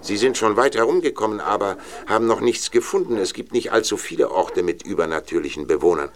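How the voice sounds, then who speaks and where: An actor playing a commanding older film director in an audio drama, speaking in a deliberate, businesslike way. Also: male voice, lowish voice, slight background noise, lightly acted. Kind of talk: German audio drama dialogue.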